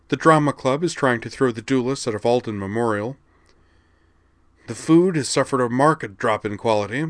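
A man's voice: fairly low pitch, fairly bright tone, no room sound, studio quality, voice pushed up, close to an everyday voice. A man reading the part of an outraged young alien woman student, snappish and indignant. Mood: complaining.

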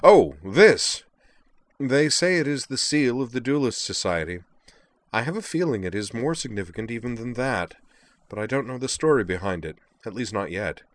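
A man voicing a courteous, proud lizard-like alien swordsman in a narrated story, speaking with formal, flowery politeness and calm, cutting wit. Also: mid-range voice, normal speed, pitched above the performer's own voice, close to an everyday voice. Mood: puzzled, frank. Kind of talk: acted dialogue in a narrated story.

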